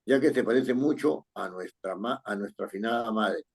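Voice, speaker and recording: A man's voice: on the low side, gravelly, slightly rough. A man teaching a writing class, reading a student's paragraph aloud and correcting it point by point, often checking with "¿no es cierto?". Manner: reads text aloud slowly, then explains with rising questions like "¿no es cierto?".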